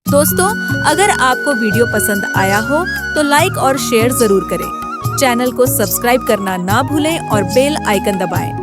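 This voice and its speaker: A woman's voice: a little high, animated, unhurried. A woman reading a scripted subscribe announcement for a video channel. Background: loud music.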